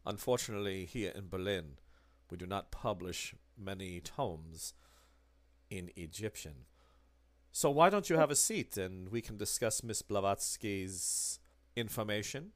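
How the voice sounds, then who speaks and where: Guy doing a creepy german occultist, low quiet voice, super polite but menacing. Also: lively intonation, no room sound. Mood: coldly probing. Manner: soft, low, measured and probing.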